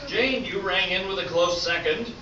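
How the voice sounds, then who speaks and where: Man acting as showy game show host, announcer delivery, amateur radio play, distant muffled recording, clear background noise. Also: sits high, moderate pace, natural voice. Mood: announcing. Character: upbeat, patient male game show host. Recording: noisy, echoey, muffled stage recording from afar.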